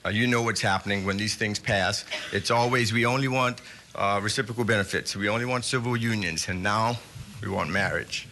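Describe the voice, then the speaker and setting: A middle-aged man giving public testimony at a hearing, indignant and earnest, with halting fillers. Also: fairly low pitch, bright, forceful tone, a little hiss or hum.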